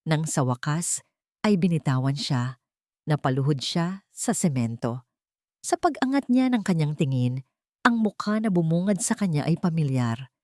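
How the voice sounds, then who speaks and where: A woman's voice: on the low side, darkish tone, dry recording, clean studio sound. A woman reading dramatic scripted narration for an audio drama.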